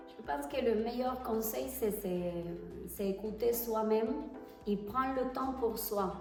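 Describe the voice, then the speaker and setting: A woman's voice: on the high side, soft background music. Argentine woman speaking French, echoey hall. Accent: Argentine Spanish. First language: Spanish. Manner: hesitant, pauses to search for French words. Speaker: tango teacher, interview guest. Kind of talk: casual interview answers. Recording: echoey hall, far from the mic.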